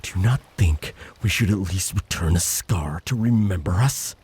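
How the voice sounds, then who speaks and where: Man voicing a very deep, husky, menacing monster brother. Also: darkish tone. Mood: bitter rage. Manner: menacing, formal, slow threats. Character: vengeful, reckless monster older brother, menacing and bitter. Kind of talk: dramatic audio roleplay acting.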